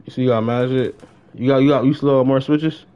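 A man's voice: medium pitch, steady tone, brisk, smooth, lo-fi sound. A young adult man chatting casually on a gaming livestream in slangy, informal speech with plenty of swearing. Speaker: game livestreamer.

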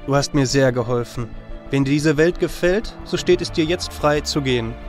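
A man playing a noble, repentant warrior hero in a fantasy audio drama, speaking earnestly and with measured solemnity. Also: medium pitch, soft background music, natural voice. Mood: generous.

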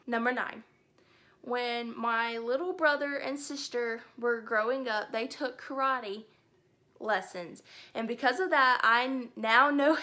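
A woman's voice: on the high side, sharp tone. A woman in her twenties from Alabama chatting casually to camera about herself in a home room. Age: 20s. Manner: chatty, upbeat, enthusiastic. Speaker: book vlogger. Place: indoor room with bookshelves.

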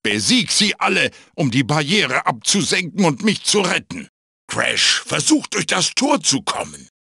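A man voicing a wise, fussy magical tiki mask mentor in a video game, with a raspy, theatrical delivery. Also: on the high side, wildly swooping pitch, unhurried, sharp tone, dry recording, studio quality, full character voice. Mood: instructive, emphatic. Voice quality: raspy, gravelly. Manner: theatrical, emphatic, swooping delivery. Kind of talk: German video game voice acting, tutorial hints and quips.

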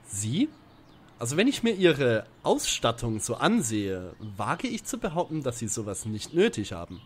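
An actor playing a snide, impatient young detective with a husky voice in a parody radio play. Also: male voice, medium pitch, wildly swooping pitch, measured pace, slight background noise.

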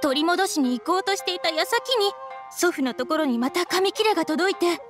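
A young townswoman for an anime game. Her voice is extremely high, with polite, anxious, pleading delivery over faint music. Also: lively intonation, moderate pace. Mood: worried. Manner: polite, pleading feminine speech. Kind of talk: video game voice acting.

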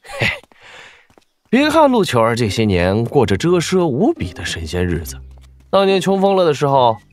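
An actor playing an obese, fawning general with a folksy, self-deprecating manner and a husky voice in a Chinese wuxia audio drama. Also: male voice, fairly high pitch, darkish tone. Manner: obsequious, chuckling, sighing, folksy.

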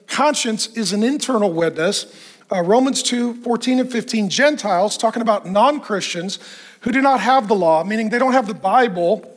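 A middle-aged man preaching a sermon on a church stage, animated and conversational with self-deprecating humor, somewhat hoarse and clearing his throat. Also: high voice. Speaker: pastor.

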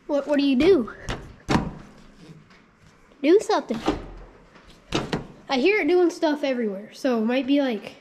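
A woman's voice: high voice, animated, a little slow, long pauses, lo-fi sound, background noise. A woman hosting a casual walkthrough vlog, thinking aloud in a rambling, unsure way with frequent ums. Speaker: channel host.

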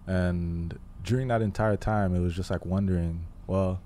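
Low dark-voiced young man from Haiti. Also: fairly even intonation, a little hiss or hum. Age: 20s. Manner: thoughtful, pauses often with fillers. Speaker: guest. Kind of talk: casual interview. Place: living room podcast set. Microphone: studio mic on boom arm, headphones.

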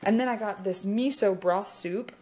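A young woman chatting casually in her home kitchen, upbeat and full of "um" pauses as she walks through her grocery haul. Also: medium pitch, darkish tone. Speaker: vlogger showing a grocery haul. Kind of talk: casual chat.

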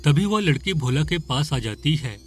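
Fairly high-voiced male story narrator. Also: lively intonation, moderate pace, slight background noise. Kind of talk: scripted cartoon story narration.

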